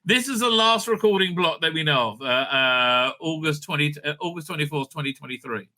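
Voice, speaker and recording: A man's voice: fairly high pitch, expressive, brisk, bright, forceful tone. A man giving casual, unscripted commentary as host of a fan news show, rambling and thinking aloud in a blunt, sweary way. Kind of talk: casual unscripted commentary while browsing a screen.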